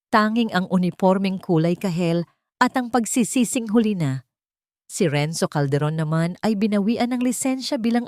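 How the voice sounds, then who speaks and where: A woman's voice: fairly low pitch, darkish tone, no echo, studio quality. A woman reading a scripted first-person dramatic story as an audio narrator.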